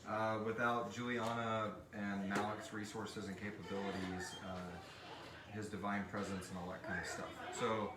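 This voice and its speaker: A man's voice: on the low side, little pitch movement, moderate pace, very noisy. A man hosting a casual live question-and-answer chat, joking and sometimes hesitant as he explains. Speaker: show creator hosting a live audience question session. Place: live stream in a room with several people. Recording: roomy, distant mic with group chatter.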